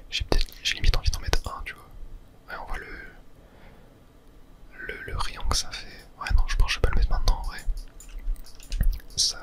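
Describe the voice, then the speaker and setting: A man from whispers casual ASMR gaming commentary in a breathy, unvoiced voice, murmuring his thoughts aloud. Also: extremely high pitch. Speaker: ASMR gaming video host.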